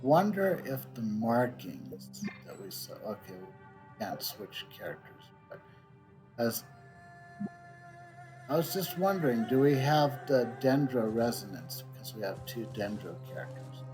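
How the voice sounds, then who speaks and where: A middle-aged man giving casual gameplay commentary, thinking out loud as he streams. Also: mid-range voice, expressive, measured pace, rough recording. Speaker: game streamer. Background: game music.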